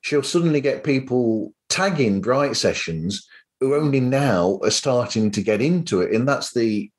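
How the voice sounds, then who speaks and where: A man's voice: mid-range voice, lively intonation, unhurried. A man talking casually as a podcast host, in a rambling, anecdotal way.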